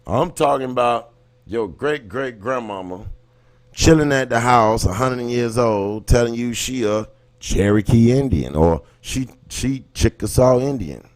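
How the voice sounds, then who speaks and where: A man's voice: medium pitch, measured pace. A man with an American accent hosting a livestream, giving impassioned, colloquial commentary and punctuating his points with rhetorical questions. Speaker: livestream host. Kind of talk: impassioned casual commentary, talking to live viewers.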